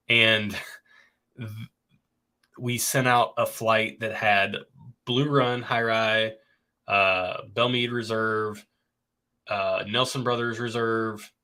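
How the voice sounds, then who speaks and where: Man, congested stuffy nose, bright forceful voice, chatty upbeat livestream co-host. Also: lowish voice. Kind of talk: casual livestream chat.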